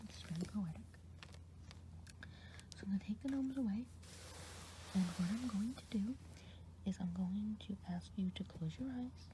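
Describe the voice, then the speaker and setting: A woman whispering softly as a kindly, chatty forest witch healer in an ASMR roleplay, rambling gently and gossiping. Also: medium pitch, normal speed, long pauses, slight background noise, natural voice, low-quality recording. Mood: coaxing. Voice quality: soft whispering. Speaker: ASMR artist.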